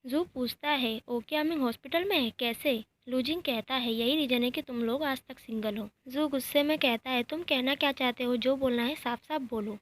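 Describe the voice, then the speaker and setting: औरत की ऊँची आवाज़ में कहानी सुनाती हुई. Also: female voice, high-pitched, normal speed, hardly any pauses. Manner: reads every character's lines in her own voice, even and plain. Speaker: narrator recapping a drama episode. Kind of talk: storytelling recap narration.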